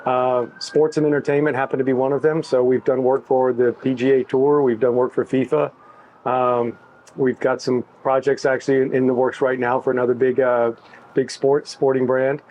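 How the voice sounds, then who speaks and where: A middle-aged man talking about his work in an easygoing, halting way, with many restarts. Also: medium pitch, little pitch movement, a little mellow, a little hiss or hum, rough recording. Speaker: tech executive, interviewee. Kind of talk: casual backstage interview.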